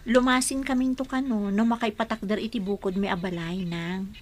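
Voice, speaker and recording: A woman's voice: mid-range voice, steady tone, normal speed, natural voice. A woman playing an earnest young woman pleading for the man she loves in an amateur radio drama. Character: young woman in love, defending her choice. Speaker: daughter.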